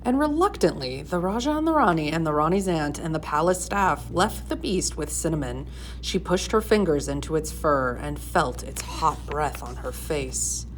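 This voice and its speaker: A woman reading a storybook aloud in expressive narration. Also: sits low, normal speed, a little hiss or hum, low-quality recording. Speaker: storybook reader.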